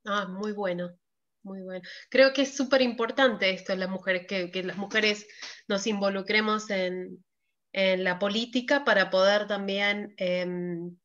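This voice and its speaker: A woman from Argentina, taking part in a workshop and speaking hesitantly, with pauses and fillers, over a video call. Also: mid-range voice, fairly even intonation, fairly bright tone, lo-fi sound. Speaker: workshop participant. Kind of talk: casual discussion.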